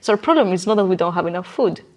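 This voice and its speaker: A woman's voice: fairly low pitch, expressive, rapid-fire. Woman explaining ideas with emphatic conviction. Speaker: interview guest.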